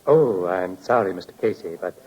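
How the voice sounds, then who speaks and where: Courteous butler, low voice, formal phrasing, deferential, old-time radio mystery acting. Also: male voice, expressive, normal speed, a little mellow, dull audio. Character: polite, deferential male butler. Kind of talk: 1940s radio drama acting.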